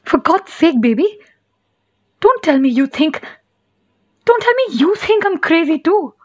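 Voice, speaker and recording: A woman's voice: very high-pitched, very animated, unhurried, dry recording, full character voice. A woman acting a distraught, pleading young wife confined in a sanatorium, in an audio play. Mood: alarmed. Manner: pleading, emotional.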